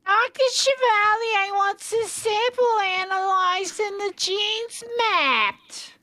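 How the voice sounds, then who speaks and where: A man voicing a cartoonish lab courier in a squeaky voice for a comedic story reading. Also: takes it slowly, sharp tone, dry recording, exaggerated voice. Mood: odd, slow. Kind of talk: comedic fanfiction read-aloud.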